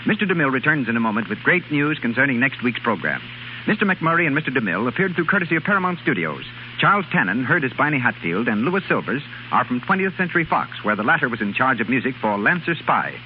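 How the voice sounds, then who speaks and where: Brisk, animated American 1930s radio announcer man. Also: medium pitch. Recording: hissy muffled 1930s radio recording.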